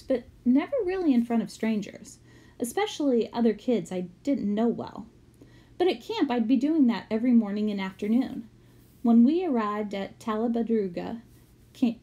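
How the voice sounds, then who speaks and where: A woman's voice: medium pitch, mellow. Female reader for first-person book narration, mid-range voice, scripted read-aloud.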